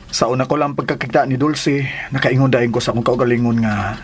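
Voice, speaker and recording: An actor with a Filipino accent playing an earnest, devoted young suitor in a radio drama. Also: male voice, medium pitch, steady tone, a little fast, husky, natural voice. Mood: earnest, respectful.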